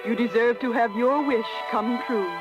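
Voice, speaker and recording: A gentle, magical fairy played by a woman speaks in stately phrases. Her voice is fairly high, over clear music in an old radio broadcast. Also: fairly even intonation, normal speed, lo-fi sound, lightly acted. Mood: gracious, solemn. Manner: stately, declamatory. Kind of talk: 1930s radio acting.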